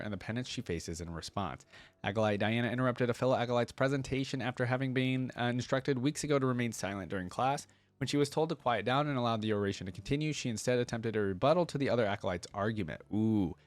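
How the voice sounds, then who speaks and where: Guy doing reaction vids, kinda low voice, casual slangy talk, no room echo at all. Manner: informal slang, exclaiming between readings. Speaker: reaction video host. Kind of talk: casual reaction commentary, reading text aloud.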